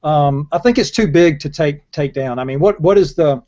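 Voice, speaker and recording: An older man on an online panel, speaking in a hesitant, halting way over a video call. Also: on the high side, warm tone. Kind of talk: panel discussion. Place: indoors against a dark backdrop. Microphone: earphone headset on video call. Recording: dull, lo-fi video call audio.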